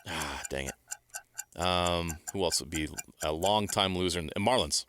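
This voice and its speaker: A man co-hosting sports radio, bantering informally and thinking out loud. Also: deep voice, measured pace, clear, resonant tone. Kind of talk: casual sports talk banter. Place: radio studio.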